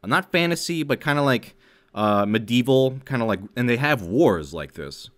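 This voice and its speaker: A man's voice: mid-range voice, lively intonation, normal speed, fairly bright tone. A man hosting a podcast, chatting casually and informally with friends. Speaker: podcast host. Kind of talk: casual chat.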